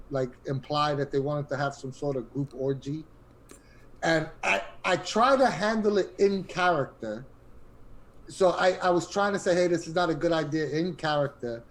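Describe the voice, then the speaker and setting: A man's voice: a little high, rough recording. A man telling personal stories in an informal, emphatic way in a casual group chat. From Queens, New York City. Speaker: podcast panelist.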